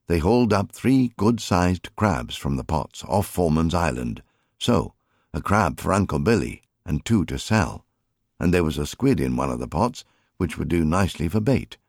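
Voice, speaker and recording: A man narrates a story in a very deep voice. His intonation rises and falls in a lively way, with measured, expressive phrasing. The recording is dry and close. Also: no room sound. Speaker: audiobook narrator.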